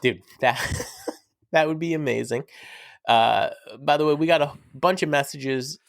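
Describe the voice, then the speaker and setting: A man's voice: fairly high pitch, measured pace, gravelly, dry recording, clean studio sound. A young man, sick, talking casually and enthusiastically as a podcast co-host, rambling and teasing his friend. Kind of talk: casual fan chat.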